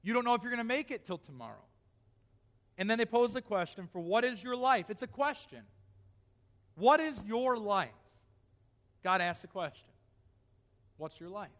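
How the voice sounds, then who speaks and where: A man's voice: sits high, lively intonation, moderate pace, pauses often, a bit bright, in a large hall. A male pastor preaching a sermon in a church, reading scripture aloud and then pressing his point with rising emphasis.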